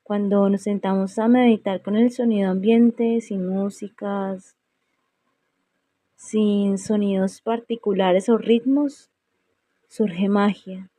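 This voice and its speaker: A woman leading a guided meditation in a serene, unhurried way, leaving long pauses between phrases. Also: mid-range voice, mellow, no room sound. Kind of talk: slow guided meditation narration.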